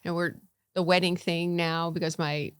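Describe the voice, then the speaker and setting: A woman's voice: fairly low pitch, flat delivery, moderate pace, fairly bright tone, smooth, dry recording. A middle-aged woman from Connecticut, a county commissioner chatting easily as a podcast guest, laughing often. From Connecticut, USA. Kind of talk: casual interview chat.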